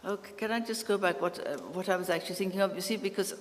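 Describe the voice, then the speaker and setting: A woman's voice: medium pitch, fairly even intonation, fast talker. A woman speaking earnestly on a literary panel, often restarting her sentences. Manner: earnest, with many restarts and fillers. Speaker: literary critic and anthology editor on a panel. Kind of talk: panel discussion. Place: large festival hall. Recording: hall with long reverb.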